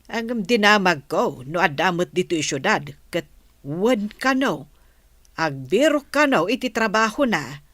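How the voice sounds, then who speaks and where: Chatty nosy older filipino village mom woman acting, swoopy expressive voice, radio play. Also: mid-range voice, measured pace, dry recording. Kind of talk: radio drama dialogue.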